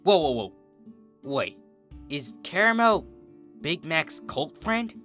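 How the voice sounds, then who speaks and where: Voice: medium pitch, sing-song, slow pace, a bit bright, soft background music, voice pushed up. A performer voicing an earnest orange farm pony mare, a protective sister in a cartoon story, who explains things a little hesitantly. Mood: astonished.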